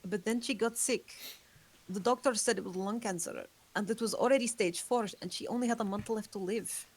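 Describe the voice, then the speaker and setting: A woman's voice: mid-range voice, brisk, darkish tone, breathy edge. A woman reading the part of an ageless female vampire, guarded and remorseful, in a table read. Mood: somber.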